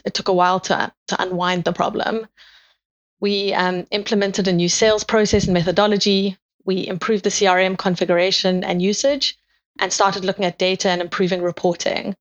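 Measured South African woman. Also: mid-range voice, dull audio. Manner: measured, methodical explanation. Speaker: guest, sales operations manager. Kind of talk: podcast interview answers.

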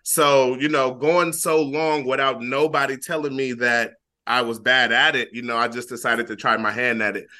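A young man from Flint, Michigan, talks in a loose, laid-back way, with a bright, forceful voice. He repeats himself and leans on fillers as he tells his story in a casual interview. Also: medium pitch, steady tone, moderate pace. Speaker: guest rapper.

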